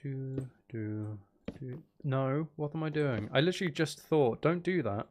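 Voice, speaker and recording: A man's voice: mid-range voice, a little slow, noisy background. A man giving casual livestream commentary on a game, thinking aloud to his viewers in a musing way. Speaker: game streamer.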